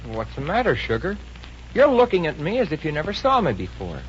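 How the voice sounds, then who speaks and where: A dull, slightly noisy old radio recording of a young educated boyfriend. He is earnest and coaxing, explaining things hopefully with lively swings in pitch. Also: fairly quick. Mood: apologetic, coaxing. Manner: earnest, explanatory, sweet-talking. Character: educated, hopeful, unemployed young engineer and sweet-talking boyfriend. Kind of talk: 1950s radio comedy acting.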